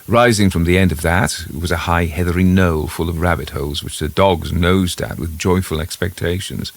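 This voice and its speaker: A man reading a classic ghost story in the first person, with a measured literary delivery. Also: deep voice, vocal fry.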